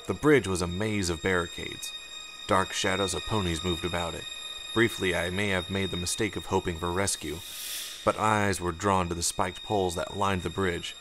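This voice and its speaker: A man's voice: low-pitched, background noise. A man reading scripted story narration as an audiobook narrator, with music behind him. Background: music under the narration.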